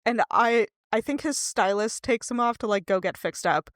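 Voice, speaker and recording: A woman co-hosting a casual book discussion in a conversational way, quick to laugh. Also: on the high side, no room sound, clean studio sound. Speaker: podcast co-host.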